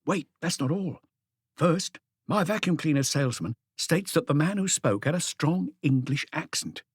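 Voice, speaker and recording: A man voicing an excitable, eager police inspector who reports deferentially to his boss in an audiobook. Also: on the high side, moderate pace, slightly rough, no echo, clean studio sound. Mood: eager, reporting.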